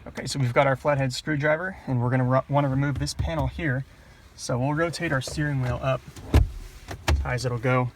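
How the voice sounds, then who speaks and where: A man's voice: medium pitch, fairly even intonation, a little fast. Man giving hands-on how-to, some background noise. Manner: plain-spoken, step-by-step explaining. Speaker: presenter of an installation tutorial. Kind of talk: casual hands-on how-to instruction. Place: in or at a car, working on the dashboard.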